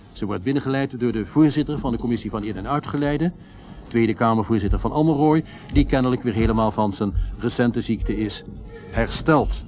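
A formal radio reporter, played by a male actor, commentating live on a grand occasion in a radio play. His voice sits at an average male pitch. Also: close to an everyday voice. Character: male radio reporter covering a royal ceremony, formal, later shaken.

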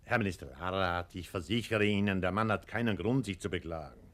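A man's voice: low-pitched, fast talker, a bit bright. A man playing an officious department chief in a radio play, formal and unctuous, servile to superiors and patronizing to those below. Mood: indignant, servile. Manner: formal, unctuous, self-righteous. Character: officious male bureaucrat chief, servile upward, patronizing downward.

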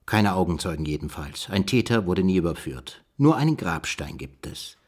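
An actor playing a pondering investigator who muses through clues of a literary case in a radio play. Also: male voice, low voice, sing-song, normal speed, slightly rough, heavily acted. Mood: puzzled, musing.

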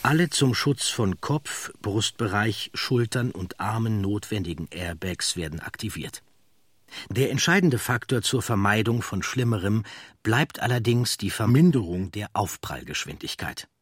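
A man reading scripted documentary narration in a measured, factual manner. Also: lowish voice, lively intonation, breathy edge, no echo.

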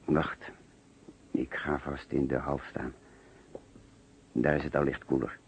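A man's voice: extremely low pitch, flat delivery, slow, exaggerated voice. An actor with a Netherlands Dutch accent playing an anxious, hesitant businessman who narrates and mutters to himself in a radio play. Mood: puzzled. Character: anxious middle-class businessman recounting finding a murdered man. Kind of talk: radio play acting with first-person narration. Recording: muffled, noisy old radio play recording.

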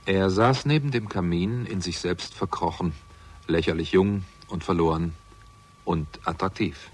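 A hard-boiled man with a low, rough-edged voice, sardonic and quick to temper. His dry, biting sarcasm suits a 1960s German radio crime play. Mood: brooding, narrating. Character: sardonic, hot-tempered hard-boiled talent agent and amateur sleuth. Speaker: lead actor and first-person narrator.

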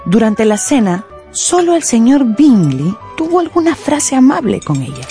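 A woman narrating a scripted radio drama in an expressive storytelling manner. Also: fairly high pitch, normal speed, faint music behind.

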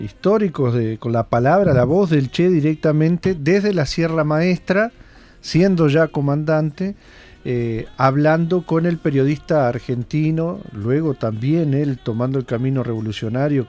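A man's voice: a little high, warm tone. A man hosting a radio show, giving a conversational commentary with frequent fillers and restarts. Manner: conversational, halting, many fillers. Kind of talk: casual spoken commentary.

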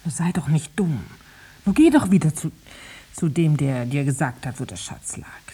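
A woman's voice: sits low, expressive, normal speed, mellow, breathy edge, full character voice. A woman playing a greedy, nagging farmer's wife in a 1950s radio play, urging and coaxing in a conspiratorial way. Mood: coaxing, impatient.

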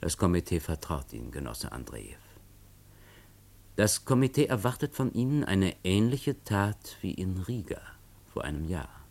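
A low-voiced cold revolutionary boss, measured and bossy, radio play. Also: male voice, sing-song, creaky, darkish tone, exaggerated voice. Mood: commanding. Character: cold male revolutionary leader, commanding.

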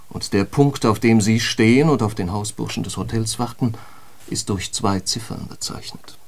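An actor playing a grave, literary narrator in a radio drama, with a measured and rhetorical delivery. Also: male voice, mid-range voice, close to an everyday voice. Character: male narrator, grave literary guide to a harsh New York.

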